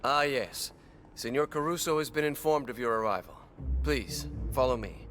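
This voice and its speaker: A man's voice: medium pitch, animated, moderate pace, fairly bright tone, a little hiss or hum, natural voice. A man playing a worried household butler with a formal, polite, servile way of speaking. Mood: polite, concerned.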